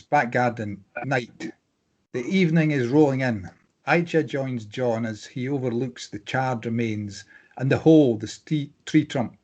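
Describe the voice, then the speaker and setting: A man's voice: mid-range voice, a little mellow. A man giving scripted narration, reading out scene headings and stage directions for a drama.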